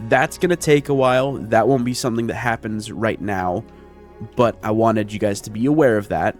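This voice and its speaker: A man's voice: on the low side, normal speed. A man giving casual, chatty gameplay commentary, explaining his plans step by step as a video creator speaking into a close mic. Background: background music.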